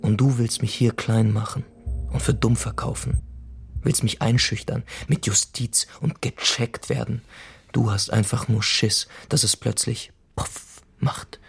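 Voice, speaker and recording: A young man as an embittered delivery worker in a radio crime drama. His mid-range voice is creaky, and he needles with sarcasm and defiance in loose, colloquial, natural-sounding speech. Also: mid-range voice, steady tone, a little slow, faint music behind, heavily acted. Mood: bitter, angry. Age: young adult.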